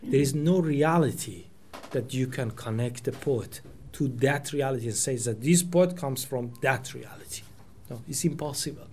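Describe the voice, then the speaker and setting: A man's voice: medium pitch, moderate pace, darkish tone, slight background noise. A man in his forties whose first language is Persian, giving a reflective talk in a small meeting room. He searches for words as he goes, restarting phrases often. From Iran. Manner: thoughtful, searching for words, many restarts and fillers. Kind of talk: reflective lecture. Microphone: table microphone.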